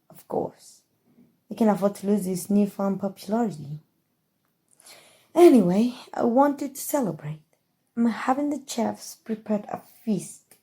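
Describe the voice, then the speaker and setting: A woman's voice: mid-range voice, mellow. A woman playing a haughty, scheming evil queen in an ASMR roleplay, with a regal, theatrical, condescending manner. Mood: smug, scheming, then imperious, pleased. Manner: regal, theatrical, condescending, drawling with amused disdain. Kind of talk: ASMR roleplay audio drama.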